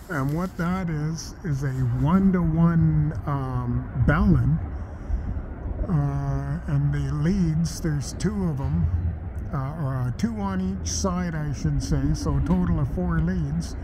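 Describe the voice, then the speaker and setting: A man giving an unscripted, matter-of-fact and halting demonstration as a hobbyist host, recorded outdoors on a handheld device. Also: a little high, darkish tone, slight background noise. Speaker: amateur radio hobbyist and video host. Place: outdoors in a backyard and deck.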